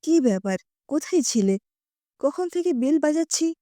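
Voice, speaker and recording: A woman's voice: high voice, lively intonation, normal speed, dark tone, no echo, studio quality. A woman voicing an irritable, demanding husband who complains and scolds, in an audio story. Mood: annoyed.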